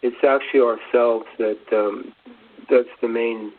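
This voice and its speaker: A man co-presenting an unscripted spiritual talk, halting and searching for his words, on a dull lo-fi recording. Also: mid-range voice, fairly even intonation. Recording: dull lo-fi radio sound, faint noise.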